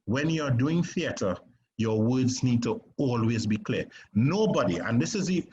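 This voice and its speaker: A man with a Trinidadian accent teaching a stage acting class, lively and often asking "right?". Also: medium pitch, a little slow. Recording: slightly dull recording with faint noise.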